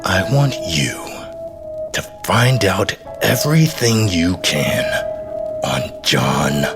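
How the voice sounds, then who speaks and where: A man playing a brooding, menacing boss, curt and deliberate, in an audio drama. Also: deep voice, sing-song, over loud music, full character voice. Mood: cold, curt.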